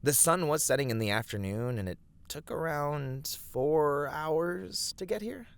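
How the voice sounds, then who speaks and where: A man playing a steady, righteous fantasy adventurer in an ASMR roleplay, speaking to a companion in measured, slightly formal, encouraging words. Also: a little high, gravelly, smooth. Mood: thoughtful, deliberative. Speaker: voice actor in a roleplay. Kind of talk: scripted ASMR fantasy roleplay addressed to the listener.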